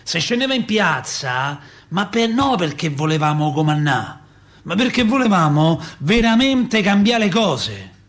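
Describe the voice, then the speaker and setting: Wistful working-class father, high male voice, Roman accent, colloquial radio-theatre monologue, emphatic repetitions. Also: sing-song, unhurried, a bit bright. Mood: impassioned. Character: working-class Roman father recalling 1970s street politics, wistful, impassioned.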